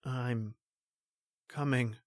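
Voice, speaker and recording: Woman playing a deep, brusque country policeman. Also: fairly even intonation, extremely slow, no echo, studio quality, heavily acted. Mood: entranced, strained. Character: stoic, protective male country police officer. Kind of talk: old-time radio horror drama acting.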